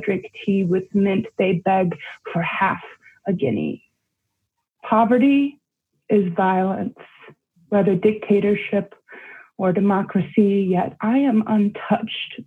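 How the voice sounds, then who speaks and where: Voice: mid-range voice, vocal fry, slightly rough. A young adult reading a poem aloud, then chatting casually about it, rambling with many fillers. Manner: rambling, heavy with fillers in chat. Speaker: poet and guest. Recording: tinny phone-like line.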